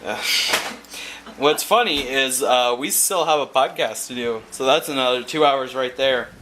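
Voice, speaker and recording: A man's voice: medium pitch, unhurried, pushed and bright, a little hiss or hum. A young man vlogging casually into a handheld camera, rambling and losing his train of thought. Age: young adult. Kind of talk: casual chat.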